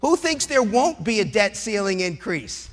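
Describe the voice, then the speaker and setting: A man's voice: high voice, big pitch swings, moderate pace. A man speaking as a lawyer giving a persuasive public talk, emphatic and incredulous, full of rhetorical questions. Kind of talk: persuasive political speech on law, with anecdotes and rhetorical questions.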